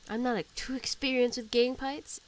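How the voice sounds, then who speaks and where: A woman's voice: a little high, fairly even intonation, moderate pace. A woman streamer giving casual, offhand commentary as she plays a game and reads out dialogue choices. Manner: informal, offhand. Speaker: streamer playing and reacting to a game. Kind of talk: casual gameplay commentary, reading dialogue options.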